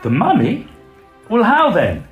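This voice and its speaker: A man playing a brisk, blunt, level-headed young medical student in an audio drama. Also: sits high, wildly swooping pitch, lo-fi sound, faint music behind, exaggerated voice. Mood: puzzled, curious. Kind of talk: acted audio drama dialogue.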